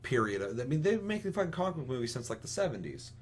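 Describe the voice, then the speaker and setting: A man's voice: medium pitch, very animated, racing. A man chatting casually as a podcast host, giving opinions in an emphatic, rambling way full of profanity. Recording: clearly audible hum and noise.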